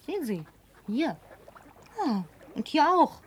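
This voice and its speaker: A slow-talking, pleading young woman. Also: high voice, very animated, slight background noise, exaggerated voice. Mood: playful, teasing. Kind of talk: German radio drama acting.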